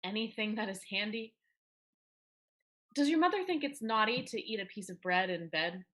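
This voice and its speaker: Bright-voiced defiant schoolgirl, played by a woman. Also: medium pitch, lively intonation, normal speed, close to an everyday voice. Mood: frank, rueful. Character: battered teenage schoolgirl, rueful and defiant. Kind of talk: dramatic reading of a period play.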